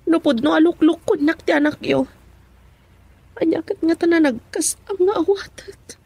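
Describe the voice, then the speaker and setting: A woman's voice: very high-pitched, normal speed, gravelly, warm tone, dry recording. An actress playing a determined, anxious young woman in a radio drama, with a Filipino accent. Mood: determined, suspicious. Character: determined, anxious young woman doubting her boyfriend's faithfulness. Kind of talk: Ilocano radio drama acting.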